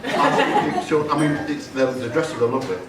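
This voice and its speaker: A man's voice: mid-range voice, steady tone, a little fast, over a noisy crowd. An older man giving a jokey, rambling father-of-the-bride wedding speech, often correcting himself as he goes. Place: wedding reception hall. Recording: echoey hall from far off, noisy.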